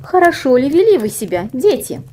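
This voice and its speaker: Woman as stern, high-voiced mother goat. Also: big pitch swings, brisk, darkish tone, slight background noise. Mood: stern, questioning. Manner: admonishing, firm. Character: stern mother goat warning her children.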